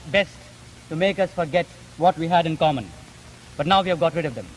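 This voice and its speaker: A high-voiced man with an Indian accent argues his points formally and emphatically. His speech is articulate and deliberate in its phrasing. The recording is an old 1950s broadcast with light background noise. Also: poor audio. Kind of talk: formal debate.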